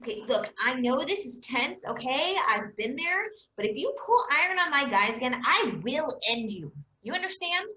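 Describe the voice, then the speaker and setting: A woman's voice: high-pitched, sing-song, normal speed, pushed and bright, roomy sound, full character voice, rough recording. A woman playing a tough, bossy, sarcastic squad leader in a comic stage play, blunt and threatening.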